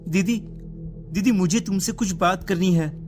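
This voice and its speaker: An actor playing an anxious, suspicious younger brother in a cartoon drama, urgent and insistent. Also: male voice, sits high, unhurried. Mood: urgent, worried. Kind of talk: dramatic cartoon story acting. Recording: music behind the voice.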